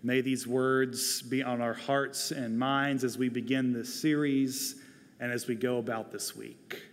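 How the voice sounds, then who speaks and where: A man in his forties delivering a prepared sermon as a pastor, earnest and deliberate, speaking into a lapel mic in a large church. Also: mid-range voice, little pitch movement. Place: large church sanctuary, indoors, at a pulpit. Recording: long hall reverb of a large sanctuary.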